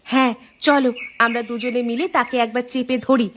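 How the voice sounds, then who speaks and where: A woman's voice: a little high, steady tone, moderate pace, fairly bright tone, a little hiss or hum, natural voice. A woman playing a stern, suspicious village wife in a cartoon, questioning and nagging with emphasis. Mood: concerned. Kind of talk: Bengali cartoon dialogue dub.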